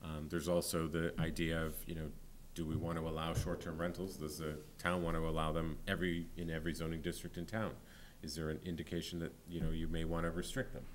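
A man in his forties, a town planning official, answering a board's questions at a public meeting, often pausing with ums and restarting sentences. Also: very deep voice, completely flat delivery, a little hiss or hum. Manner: hesitant, many ums and restarts. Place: town hall meeting room. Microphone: desk microphone.

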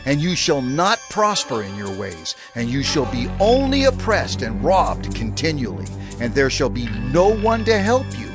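A man's voice: medium pitch, huge pitch swings, measured pace, few pauses. A man playing a stern prophet in a dramatized audio Bible, declaiming solemnly. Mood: stern, foreboding. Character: stern male prophet pronouncing divine blessings and curses. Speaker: scripture narrator. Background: music.

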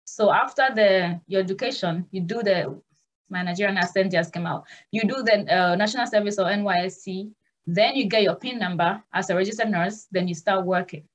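Young nurse hosting a live Q&A; reassuring, plain-spoken, video-call sound. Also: female voice, mid-range voice, a little fast. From Ghana. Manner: earnest, direct and reassuring. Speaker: nurse and migration consultant host. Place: small room at home.

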